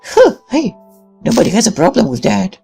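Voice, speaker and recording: A performer voicing a proud, stubborn young boy in a visual novel, with a high childlike voice. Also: big pitch swings, normal speed, gravelly, dark tone, breathy edge, faint music behind, full character voice. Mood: defiant. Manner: boastful, petulant.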